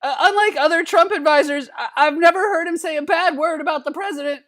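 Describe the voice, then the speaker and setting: Timid adolescent boy, cartoon comedy, very high squeaky pitch, nervous stutter, narrating, flustered. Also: fast talker, a bit bright. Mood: hesitant. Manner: anxious stuttering, repeated word starts. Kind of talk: comedic dramatic reading of reported narration.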